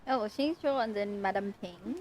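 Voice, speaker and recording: A woman's voice: mid-range voice, normal speed, a little mellow, slight background noise. A woman livestreaming a game, chatting casually and playfully as she reacts aloud. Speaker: live streamer. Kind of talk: casual gaming livestream chat.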